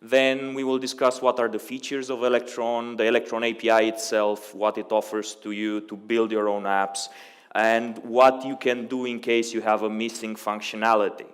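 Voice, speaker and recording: A man's voice: on the low side, level tone, normal speed. Romanian man speaking in a reverberant hall. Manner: conversational, many ums and uhs. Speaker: conference speaker. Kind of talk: technical conference talk. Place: conference hall.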